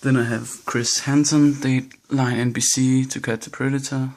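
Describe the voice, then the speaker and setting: A man's voice: mid-range voice, little pitch movement, normal speed, darkish tone. A young man with a Danish accent, listing books in a casual bookshelf tour, hesitant and a little nervous. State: nervous and shaky. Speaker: vlogger.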